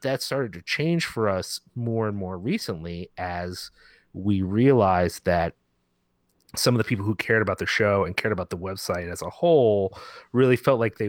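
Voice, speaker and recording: A man's voice: deep voice, no room sound, clean studio sound. A man talking casually in an interview as a podcast guest, informal and rambling, often correcting himself mid-sentence. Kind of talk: casual conversational interview talk.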